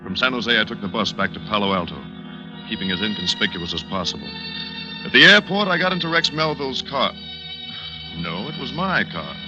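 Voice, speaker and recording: An actor playing a cold, calculating pilot who narrates a 1940s radio thriller in a clipped, deliberate, dramatic way. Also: male voice, lowish voice, animated, close to an everyday voice. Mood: quietly relieved. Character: cold, calculating male pilot plotting his own faked death. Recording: muffled old radio recording, loud music behind.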